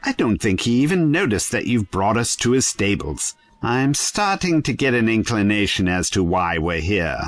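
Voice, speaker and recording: An actor playing a quirky, principled adventurer in an audio drama, talking in a wry, musing way. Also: male voice, lowish voice, sing-song, moderate pace, few pauses, dry recording. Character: quirky, principled adventurer, talkative and wryly witty. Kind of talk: full-cast audio drama acting.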